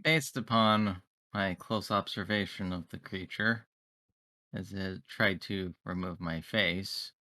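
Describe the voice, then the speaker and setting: A man playing a tabletop roleplaying game, improvising in character with formal, deliberate wording. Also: sits low, pushed and bright.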